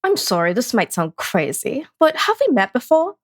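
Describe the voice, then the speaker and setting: A woman's voice: on the high side, wildly swooping pitch, normal speed, no echo, clean studio sound, heavily acted. A woman playing a polite, bookish librarian turned monster hunter in an audio drama, with a courteous, proper manner. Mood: curious, polite.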